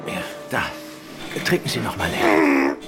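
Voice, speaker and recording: An actor playing a calm, kindly detective comforting people, in a German audio drama. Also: male voice, extremely low pitch, unhurried, music in the background, heavily acted. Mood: gently urging.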